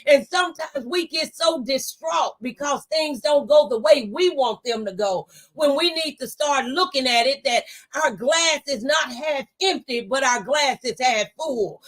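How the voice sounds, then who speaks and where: A woman's voice: high voice, expressive, unhurried, few pauses, fairly bright tone, poor audio. A middle-aged woman giving a motivational faith testimony, speaking in an emphatic, exhorting way and repeating phrases for effect. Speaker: talk show panelist giving testimony.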